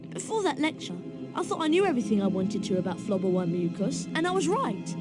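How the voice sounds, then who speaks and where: An impatient British schoolboy from a video game. The voice is high and lively, with plain-spoken directness. Music is clearly heard behind. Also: female voice, fast. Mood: cheeky.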